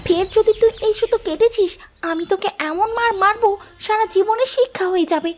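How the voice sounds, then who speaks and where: Tiny very high voice, female mouse, stern mother, scolding, cartoon, light music behind. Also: a little fast. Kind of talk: animated cartoon voice acting.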